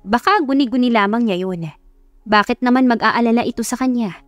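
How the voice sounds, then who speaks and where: A woman's voice: on the high side, fairly quick, no room sound, soft background music. A woman narrating a scripted dramatic love story as an audiobook narrator.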